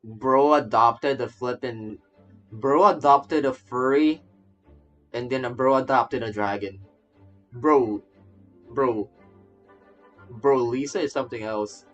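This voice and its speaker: A man's voice: mid-range voice, slow pace, long pauses, a bit bright, dull audio. Man, game streamer, casual rambling commentary, stammering, repeated phrases, mid-range voice, faint music.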